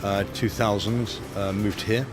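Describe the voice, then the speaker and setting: Low-voiced articulate antique dealer. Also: male voice, little pitch movement, music in the background. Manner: measured, articulate, many fillers. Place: outdoor street.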